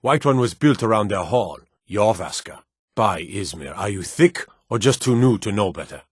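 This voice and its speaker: An actor playing a gruff, proud Nord guard in a fantasy video game, blunt and curt with folksy rustic phrasing. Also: male voice, lowish voice, expressive, normal speed, no room sound, studio quality. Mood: irritated, scornful.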